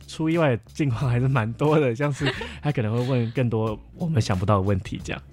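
A man's voice: mid-range voice, fast talker, a little mellow. A young adult man with a Taiwanese Mandarin accent, chatting casually as a podcast speaker. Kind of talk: casual chat.

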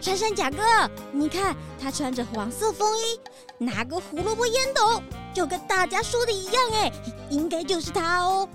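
尖細急切的小動物. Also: female voice, extremely high pitch, expressive, normal speed, over music. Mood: awed. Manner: perky, exclamatory. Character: small eager cartoon animal, rabbit or hamster, impatient. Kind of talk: children's detective audio drama acting.

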